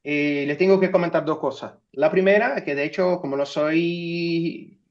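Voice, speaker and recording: A man's voice: fairly high pitch, steady tone, measured pace. A Brazilian man whose first language is Portuguese, giving an academic talk in Spanish as a guest speaker, speaking hesitantly and checking in with his listeners. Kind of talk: academic talk over video call. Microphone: video call. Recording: dull video call audio.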